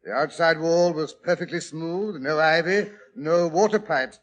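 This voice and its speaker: An actor playing an earnest gentleman storyteller recounting mysteries in a precise, emphatic old-time radio style. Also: on the high side, a little slow, muffled recording, lightly acted. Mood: intrigued, emphatic.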